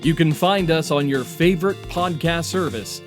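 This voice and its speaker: A man hosting a comedy panel game in a deliberate, mock-ceremonial way with dramatic pauses. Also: fairly high pitch, music in the background. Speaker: game show host and headmaster.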